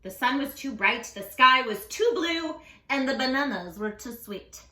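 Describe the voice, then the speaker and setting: A high, bright woman's voice tells a story to young children. She is animated and affectionate, speaking straight to her listeners with lots of swoops. The room adds some echo. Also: normal speed. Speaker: children's story reader, tutor.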